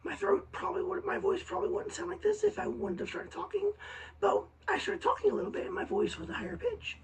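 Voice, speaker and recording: A young woman with a raspy, hoarse voice left by vocal surgery, talking haltingly in a casual vlog update with many pauses and restarts. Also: extremely high pitch, steady tone. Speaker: vlogger. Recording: slightly distant mic with faint hiss.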